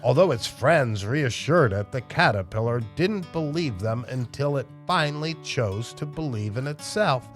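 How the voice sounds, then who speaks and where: A man reading aloud as a children's storytime host, animated and emphatic as he addresses young listeners. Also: medium pitch, normal speed, few pauses, soft background music. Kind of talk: expressive children's read-aloud narration.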